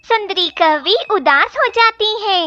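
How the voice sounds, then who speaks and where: Very high-pitched woman narrating a children's story. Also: animated, measured pace, bright, forceful tone, highs cut off.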